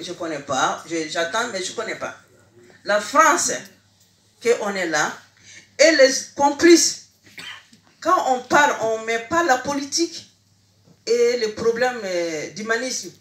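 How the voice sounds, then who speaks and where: Emphatic woman, fairly high voice, echoey room. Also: a bit bright. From Mali. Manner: emphatic, halting, repeats phrases. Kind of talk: impromptu plea at a public meeting. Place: indoor meeting hall.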